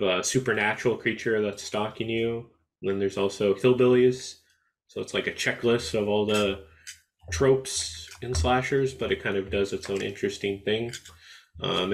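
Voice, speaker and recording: A man co-hosting a podcast in casual chat, laid-back and halting, often pausing on "um". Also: fairly low pitch, slight background noise. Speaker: podcast co-host.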